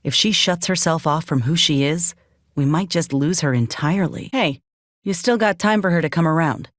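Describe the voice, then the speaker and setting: A woman's voice: low voice, normal speed, no room sound, lightly acted. An actress voicing a plain-spoken, loyal young woman fighter in a video game, with a folksy, wry manner. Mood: gentle, somber. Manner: folksy, dry-witted. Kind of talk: video game companion voice lines.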